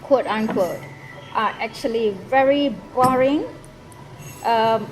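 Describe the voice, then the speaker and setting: A woman's voice: a little high, slow pace, soft background music, poor audio. A woman interviewing a guest, asking probing questions and murmuring along while he talks. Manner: probing, with short backchannel murmurs. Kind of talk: conversational interview.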